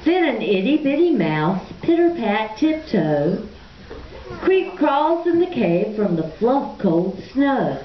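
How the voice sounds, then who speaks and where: A woman's voice: on the high side, sing-song, takes it slowly. A woman narrating a rhyming children's story at a puppet show in a sing-song read-aloud manner. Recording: echoey, muffled, with a crowd behind.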